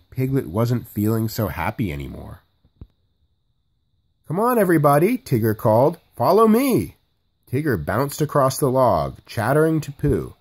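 Animated, husky man reading a storybook. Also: mid-range voice. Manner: expressive. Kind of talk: children's storybook read-aloud.

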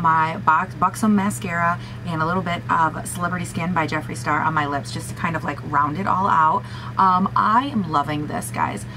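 A young woman with a raspy voice chatting casually through a makeup tutorial at home, gushing and talking to herself as she goes. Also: fairly low pitch, noisy background. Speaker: beauty vlogger. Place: small room at home, indoors.

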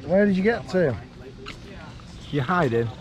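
A young man giving a relaxed, chatty walking tour outdoors, pointing things out as he goes, recorded on a handheld camera. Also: high voice, unhurried, soft background music. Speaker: vlogger. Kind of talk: casual walking tour commentary. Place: outdoors walking around a lakeside town.

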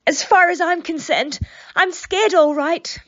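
A woman's voice: very high voice, a little slow. A woman playing an anxious, literal-minded female assistant in an audio drama. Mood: frightened. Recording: slightly dull, lo-fi sound.

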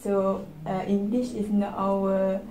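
Malaysian girl talking slow and flat, lots of uhs, roomy echo and noise. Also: mid-range voice. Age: young adult. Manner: halting, filler-heavy, tag questions. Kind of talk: student group reflection discussion. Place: indoor meeting room at a table. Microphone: far camera. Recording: echoey room with background noise.

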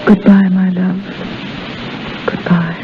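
A woman playing a brave, sorrowful young lover in a 1930s radio drama, halting and soft-spoken. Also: on the low side, little pitch movement. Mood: sorrowful, resigned. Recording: muffled 1930s radio recording with music.

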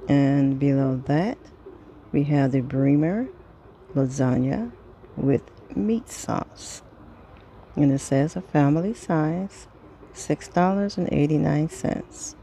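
Slow, mellow-voiced woman with creaky tone. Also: sits low, a little hiss or hum. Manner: unhurried, item-by-item, pausing between phrases. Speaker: vlogger reading a grocery sales flyer. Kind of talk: casual product read-through.

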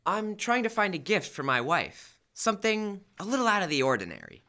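A man's voice: high-pitched, very animated, brisk, bright, forceful tone, heavily acted. A young man playing a polite, put-upon husband in an old-time radio comedy. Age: young adult. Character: exasperated, polite husband shopping for his wife. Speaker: high school student actor. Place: school library room, live staged radio show. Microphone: shared stand microphone.

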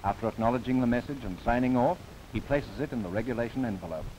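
A man from New Zealand giving formal, measured scripted narration for a 1930s government documentary film, recorded as a studio voice-over. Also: medium pitch, mellow, low-quality recording. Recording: old film soundtrack with faint hiss.

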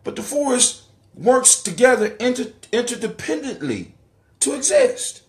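A man's voice: very high-pitched, very animated, unhurried. A middle-aged American man hosting a casual livestream monologue, conversational, swearing casually and repeating phrases for emphasis. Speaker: livestream host. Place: dim living room indoors. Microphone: phone or webcam at arm's length.

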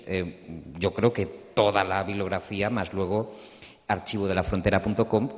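Deep-voiced man moderating in a reverberant hall. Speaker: panel moderator. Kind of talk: unscripted panel introduction. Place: stage in an auditorium. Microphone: handheld microphone. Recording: boomy reverberant hall, muffled sound.

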